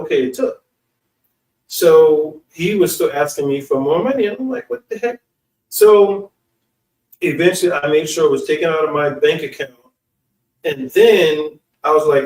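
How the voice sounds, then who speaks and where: An American man telling personal stories casually, with an informal, slangy and halting delivery. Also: a little high. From United States. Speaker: online speaker and channel host. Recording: echoey room, distant from mic.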